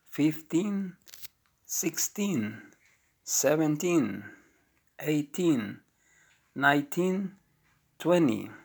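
A man reading an English course book aloud, calling out words and items in a slow, deliberate way. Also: a little high, vocal fry, husky. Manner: slow, deliberate listing with rising and falling item calls. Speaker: textbook audio reader.

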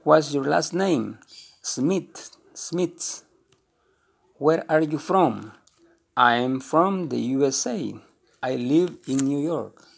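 A man reading an English textbook aloud slowly and deliberately, speaking every heading and example. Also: on the high side, lively intonation, creaky. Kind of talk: slow textbook read-aloud.

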